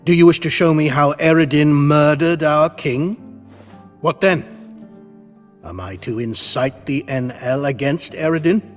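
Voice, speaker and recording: A man's voice: a little high, unhurried, in a large hall, faint music behind, lightly acted. A man playing a proud, suspicious elven lord in a fantasy video game, speaking formally and haughtily. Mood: indignant. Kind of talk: cinematic fantasy game acting.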